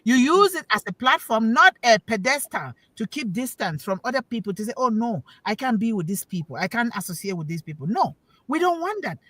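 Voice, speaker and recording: A woman's voice: fairly high pitch, big pitch swings, fairly quick, a bit bright, dry recording. Emphatic woman giving a preachy talk. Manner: emphatic, preachy, repeats key words for stress; asks the listeners questions. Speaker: panel speaker on an online talk show. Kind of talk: motivational talk.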